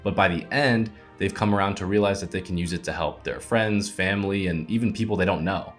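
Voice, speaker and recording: Low-voiced man explaining, music behind. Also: brisk. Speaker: children's book author. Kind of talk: scripted explanatory talk.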